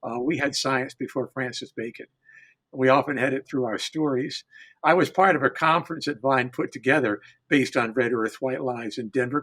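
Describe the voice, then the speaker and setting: Man, husky mid-pitched voice, emphatic and deliberate oral storyteller in a panel setting. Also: a bit bright, rough recording.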